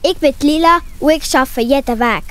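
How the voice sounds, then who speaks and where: A woman's voice: high-pitched, fairly quick, fairly bright tone. A woman with a Swiss accent voicing a sensitive but plucky little girl in a children's audio play. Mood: resolute.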